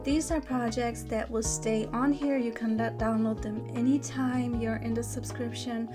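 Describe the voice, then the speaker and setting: A woman with a German accent, her voice sitting a little high, talks a viewer through a membership site step by step. She speaks patiently, checking in with "okay?" and pausing on fillers. Clear background music plays under her voice-over. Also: steady tone. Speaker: bag pattern designer. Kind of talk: screen-recorded website walkthrough.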